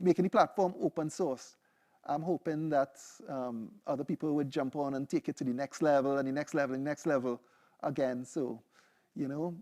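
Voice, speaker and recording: A man's voice: fairly high pitch, darkish tone. A young man with a Trinidadian accent giving a seminar talk, loose and conversational, often checking in with "right?" and "you know". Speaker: PhD candidate presenting. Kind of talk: seminar presentation with live demo.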